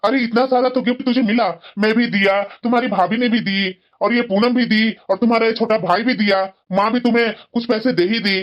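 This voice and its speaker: A woman's voice: a little high, steady tone, brisk, few pauses, lightly acted. A woman voicing a placating, reasoning husband and brother in a family cartoon drama. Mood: pleading. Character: placating adult man, husband and protective brother. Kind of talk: Hindi family drama storytelling. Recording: dull, lo-fi sound.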